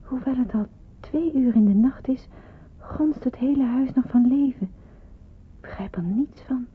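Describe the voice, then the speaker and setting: A woman's voice: high voice, unhurried, husky, exaggerated voice. An actress playing a bewildered, wary young woman in hiding, musing and questioning in a radio drama. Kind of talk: radio drama narration and dialogue. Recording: muffled, narrow-band old radio recording.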